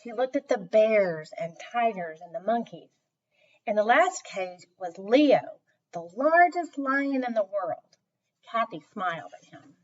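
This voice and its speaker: A woman tells a children's story at an unhurried pace, her fairly high voice swooping expressively. The recording is dull and a little distant from the microphone. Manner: slow, animated, deliberate emphasis.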